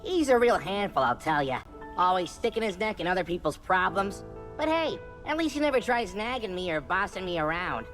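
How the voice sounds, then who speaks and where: A cheeky, good-hearted little adventurer guy from a video game. He swaggers and boasts in folksy, casual talk, with big swings in pitch. Music plays behind him. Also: big pitch swings, a little fast. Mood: boastful storytelling. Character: small cheeky treasure-hunting male wanderer, boastful, good-hearted. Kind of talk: video game cutscene acting.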